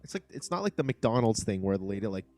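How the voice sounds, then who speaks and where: A man's voice: on the low side, very animated, fast talker, loud music behind the voice. A man co-hosting a casual chat, rambling through explanations with plenty of filler words. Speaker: podcast co-host.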